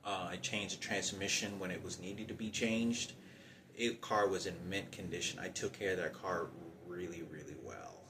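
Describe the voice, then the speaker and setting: A man giving an unscripted personal monologue about his own hardships, halting and often circling back to repeat himself. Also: low voice, steady tone, background noise. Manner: halting, repetitive, circling back over points. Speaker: storyteller recounting personal hardship.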